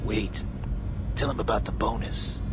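An actor playing a male space security officer who gives brisk, matter-of-fact orders in a video game. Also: vocal fry. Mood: calm. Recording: game music and engine rumble behind.

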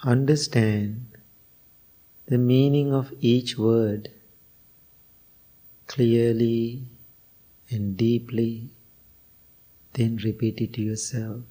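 Slow, mellow man guiding meditation. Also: medium pitch, steady tone, low-quality recording. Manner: slow, unhurried phrases with long pauses. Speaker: Buddhist monk and meditation teacher. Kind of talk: guided meditation and spiritual talk.

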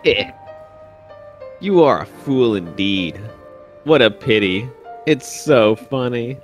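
A man's voice: mid-range voice, lively intonation, slow pace, faint music behind. A man voicing a haughty, self-satisfied teenage schoolboy in an anime fan comic dub. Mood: smug. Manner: smug, condescending.

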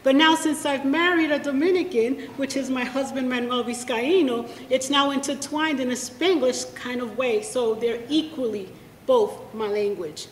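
Casting: bilingual woman, high voice, heartfelt, at a podium mic in an echoey hall. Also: normal speed, a little hiss or hum. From United States. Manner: bilingual, switching between English and Spanish, heartfelt. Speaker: poet reading at a library event. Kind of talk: spoken introduction to a poetry reading. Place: library event room. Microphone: podium microphone. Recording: echoey room, long reverb.

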